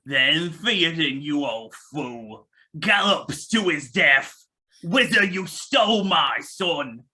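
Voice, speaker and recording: A man playing a crazed, grieving old steward lord in a fantasy script read, with a strained raspy voice and theatrical, bitter delivery. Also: fairly high pitch, animated, slow, sharp tone, full character voice. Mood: bitter, accusing. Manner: theatrical, declaiming.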